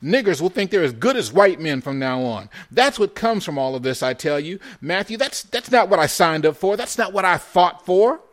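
An indignant young soldier boy, acted by a male audiobook reader, spoiling for a fight. His voice rides high and shaky, pushed loud with outrage, in the manner of a dramatic historical novel. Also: sing-song, fairly quick, hardly any pauses. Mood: furious, indignant.

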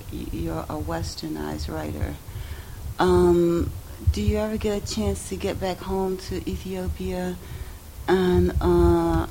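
A woman's voice: on the low side, mellow, a little hiss or hum, rough recording. A woman in the audience asking a long, rambling question, repeating words as she searches for what to say.

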